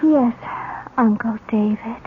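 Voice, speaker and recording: A sly young psychic girl speaks in a high, breathy voice and takes her time over every phrase. The delivery is theatrical old radio mystery acting, on a tinny recording with music behind it. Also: takes it slowly, heavy background noise. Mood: sly, taunting. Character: sly teenage girl psychic, taunting, feigning eerie trances.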